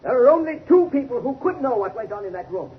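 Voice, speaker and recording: Very high, emphatic defense lawyer. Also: male voice, big pitch swings, quick pace. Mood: earnest protest. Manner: formal, protesting, emphatic. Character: indignant, forceful male defense attorney. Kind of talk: 1930s radio acting. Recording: tinny, noisy 1930s radio recording.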